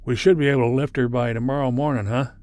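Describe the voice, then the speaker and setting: A man's voice: mid-range voice, steady tone, fast, darkish tone, no room sound, close to an everyday voice. An actor playing a plain-spoken, wry spaceship crewman with a folksy manner, in an old-time sci-fi radio drama. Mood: puzzled.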